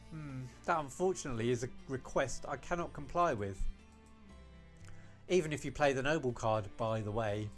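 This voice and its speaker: A man's voice: medium pitch, moderate pace, soft background music, lightly acted. A man voicing a laid-back, stubborn male cook in a visual novel readthrough, blunt and casually fatalistic. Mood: dry, unbothered. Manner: nonchalant, blunt.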